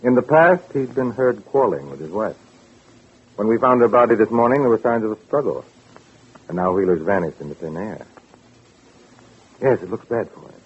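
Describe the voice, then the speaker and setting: Probing, businesslike police lieutenant in vintage radio drama; low voice, tinny narrow-band sound. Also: male voice, lively intonation, normal speed, long pauses, breathy edge. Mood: probing, official. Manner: terse, probing, official. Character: dry, businesslike male homicide police lieutenant. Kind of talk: 1940s radio drama acting.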